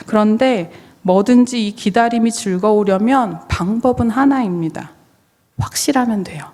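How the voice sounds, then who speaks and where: A woman's voice: mid-range voice, a little mellow. Woman preacher in a reverberant hall. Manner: explanatory, rhetorical questioning, addressing listeners directly. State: voice strained, limited to low register while recovering. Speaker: pastor preaching. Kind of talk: sermon with questions to congregation. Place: church sanctuary. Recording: long reverberant hall sound.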